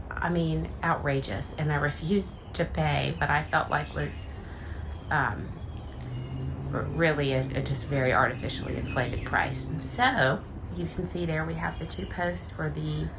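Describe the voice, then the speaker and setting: Hoarse woman rambling casually. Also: sits low, background noise. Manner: rambling, filler-heavy, chatty. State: hoarse, deep voice after illness. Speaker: vlogger. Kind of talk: casual chat to camera. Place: home and backyard garden.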